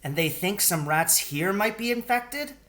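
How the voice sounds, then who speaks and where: Farmer's wife in a storybook, strong-willed mother, pitched up male reader plays her. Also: expressive, measured pace, sharp tone, heavily acted. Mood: worried. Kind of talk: acted storybook dialogue.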